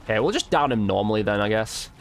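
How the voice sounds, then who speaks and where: A man's voice: lowish voice, animated, fast, slight background noise. A man commentating casually over gameplay as a content creator, chatting informally and thinking aloud.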